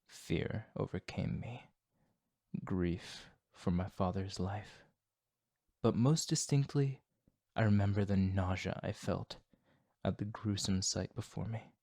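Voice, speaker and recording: A man voicing a remorseful male vampire fighting his bloodlust, in a solemn, hushed, formal ASMR roleplay monologue. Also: on the low side, slow pace, vocal fry, dry recording, studio quality, full character voice. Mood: haunted, grieving.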